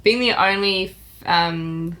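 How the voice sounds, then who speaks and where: A young woman co-hosting a podcast, talking in a warm, effusive way with lots of hesitations. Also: fairly low pitch, slow, poor audio. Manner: effusive, hesitant with many ums. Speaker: podcast co-host. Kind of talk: casual review chat.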